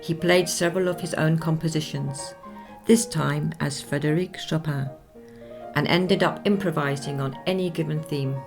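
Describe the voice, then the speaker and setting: An actress playing a poised woman recounting a life story in measured, formal radio play narration. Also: low-pitched, gravelly, music in the background. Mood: composed, narrating.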